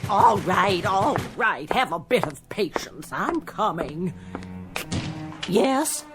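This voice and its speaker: An actress playing a brisk, fussy, proper boarding-house landlady of an earlier century in a radio drama, formal and inquisitive. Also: medium pitch, animated, over music. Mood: impatient, wary.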